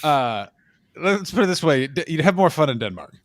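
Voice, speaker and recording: An excitable man with a high, light voice, talking over friends in loose comic chat. He swears freely and drops into jokey impressions. The recording is close and dry. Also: moderate pace, dry recording. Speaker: podcast co-host. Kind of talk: casual comedic chat.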